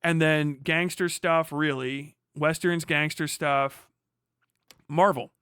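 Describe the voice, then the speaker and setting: Bright-voiced man rambling on a livestream. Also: fairly high pitch, steady tone, slow, smooth, dry recording, clean studio sound. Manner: opinionated, swears freely, digressive. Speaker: livestream host. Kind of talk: rambling livestream monologue on film and culture.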